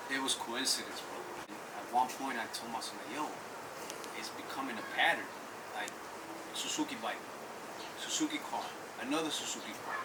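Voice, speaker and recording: Chill guy from ecuador talking bikes, sounds far from the mic, loud noise outside. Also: medium pitch. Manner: relaxed, says "bro" a lot, frequent restarts. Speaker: interviewee, vehicle owner. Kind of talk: casual conversational interview. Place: outdoors by vehicles.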